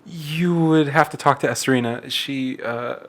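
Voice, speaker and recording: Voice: medium pitch, unhurried, lightly acted. A player voicing a nervous, stammering servant girl at a bank. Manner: hesitant, stammering.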